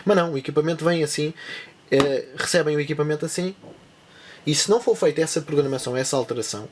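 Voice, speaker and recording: A man's voice: on the high side, breathy edge. A man with a European Portuguese accent giving a casual spoken tutorial, rambling, with many restarts and asides. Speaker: tech channel presenter.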